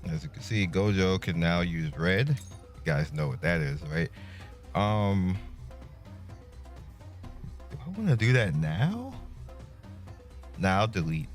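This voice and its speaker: A man's voice: sits low, big pitch swings, takes it slowly, long pauses. A man giving casual, laid-back, slangy gameplay commentary. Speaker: gaming content creator. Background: faint game music.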